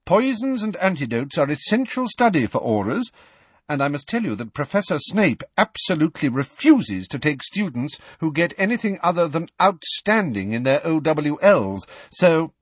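Stern older lady teacher, as a guy reads her, higher pitched, curt and clipped, dry close sound. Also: very animated, normal speed, breathy edge, no room sound. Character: stern crisp older female professor.

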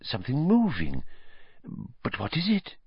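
A man voicing an airy, dreamy older woman who teaches fortune-telling, speaking in a hushed, mystical, drawn-out way. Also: medium pitch, huge pitch swings, husky, dry recording, pitched above the performer's own voice, exaggerated voice. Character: airy, dreamy female seer, an old teacher. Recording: dry, slightly dull lo-fi.

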